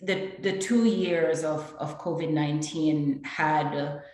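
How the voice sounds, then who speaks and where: A woman's voice: low-pitched, fairly even intonation, takes it slowly, darkish tone. A woman from Jamaica, speaking on an admissions panel, gives an earnest, thoughtful answer. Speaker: EMBA student panelist. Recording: very echoey room.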